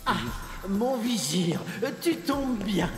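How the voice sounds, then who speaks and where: An actor playing a childishly delighted, simple-minded sultan in a children's cartoon, gleeful and theatrical. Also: male voice, sits high, animated, takes it slowly, music in the background, full character voice. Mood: pleased, eager. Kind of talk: French children's cartoon acting.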